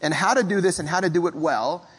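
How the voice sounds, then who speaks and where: A male pastor preaches a thoughtful sermon, his voice sitting a little high for a man. He speaks measured and reflective, pausing to clear his throat now and then. A long hall reverb trails each phrase. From Philippines.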